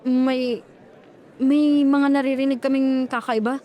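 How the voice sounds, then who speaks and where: A woman's voice: high voice, flat delivery, measured pace, mellow, a faint crowd. A woman voicing a frightened young woman in a horror audio story, talking anxiously and urgently. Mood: fearful.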